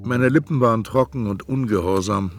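An actor playing an anxious, brooding storyteller who talks to himself in a literary radio drama. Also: male voice, medium pitch, moderate pace, natural voice. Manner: introspective, talking to himself. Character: anxious, brooding first-person male storyteller. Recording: music behind the voice.

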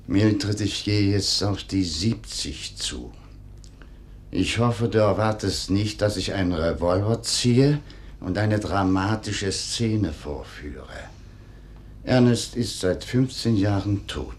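Low-voiced, sceptical elderly husband. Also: male voice. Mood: controlled, resigned. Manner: measured, dismissive, dry. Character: husband nearing seventy, composed and sceptical. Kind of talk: radio play dialogue.

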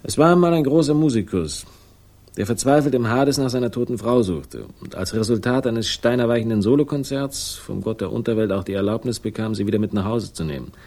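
A man's voice: medium pitch, a little fast, darkish tone, close to an everyday voice. An actor playing a laconic, ironic investigator who narrates his case in a 1960s German crime radio drama. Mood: ironic, insistent. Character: wry, hard-boiled male investigator narrating his case. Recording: music behind the voice.

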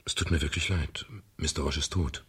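A man playing a world-weary, gruff but courteous private detective in a radio crime drama, with a gravelly, husky voice and a dry, wordy manner. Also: a bass voice, steady tone, fairly quick, fairly bright tone, exaggerated voice. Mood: grave, hesitant. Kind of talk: 1970s German radio crime drama, narrating and acting.

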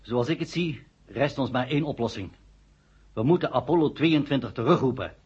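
A man's voice: mid-range voice, a little fast, a little mellow, husky. An actor playing an earnest, cold-blooded male committee member, polite but insistent, in a radio drama. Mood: earnest, cool. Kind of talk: 1970 Dutch radio drama acting. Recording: muffled old 1970 radio recording.

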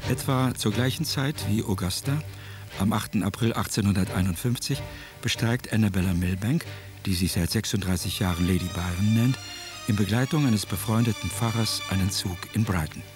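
A man narrating a scripted documentary radio drama in a measured, matter-of-fact way. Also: lowish voice, music in the background.

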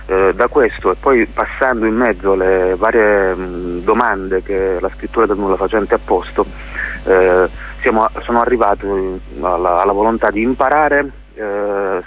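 A man's voice: sits low, a bit bright. A man being interviewed on radio as a playwright, speaking reflectively and often searching for his words. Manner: thoughtful, halting, searching for words. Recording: muffled radio interview with hum and hiss.

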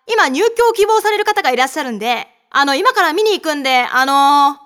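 A woman playing a cheeky young witch working as a shifty estate agent in a manzai comedy drama, polite in patter and deadpan with absurd claims. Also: high voice, fast. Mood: brisk, deadpan. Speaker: comic funny-man in a manzai skit.

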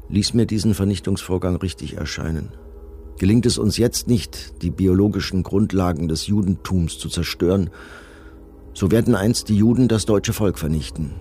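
A man's voice: low-pitched, dark tone. An actor playing a cold camp commandant reading his testimony in a matter-of-fact, self-justifying manner.

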